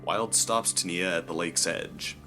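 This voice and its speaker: Deep-voiced man narrating over music. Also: steady tone, measured pace, sharp tone. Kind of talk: scripted dramatic story narration.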